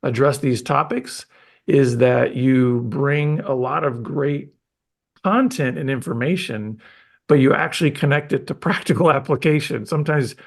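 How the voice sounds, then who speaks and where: A man's voice: medium pitch, a little slow, vocal fry. A man hosting a podcast, chatting with his guest in an enthusiastic, rambling way with frequent restarts. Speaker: podcast host. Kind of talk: casual interview chat.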